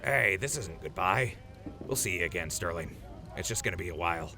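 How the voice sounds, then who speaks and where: An unflustered, slightly crafty older hand of a wasteland crew in a radio drama. The voice is deep and gravelly, with a folksy, easy delivery over a music bed. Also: male voice, expressive, fairly quick, sharp tone, heavily acted. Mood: reassuring. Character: easygoing, wily adult man leading wasteland crew. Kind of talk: post-apocalyptic audio drama acting.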